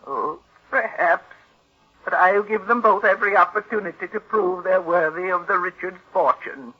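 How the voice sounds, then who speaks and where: A stubborn, wealthy old recluse in an old-time radio drama. Her voice is husky and somewhat low-fi on a muffled vintage recording. She is curt, imperious and suspicious of everyone. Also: moderate pace. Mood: cool, appraising. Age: older. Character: bitter, stubborn, reclusive rich old invalid aunt. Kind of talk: 1940s radio acting.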